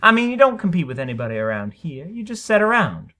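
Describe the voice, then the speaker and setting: A man's voice: fairly high pitch, very animated, quick pace, pushed and bright, pitched above the performer's own voice. A man voicing a simple-minded, kindly housewife in a folksy, naive, chatty way for a story reading. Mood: sympathetic, coaxing.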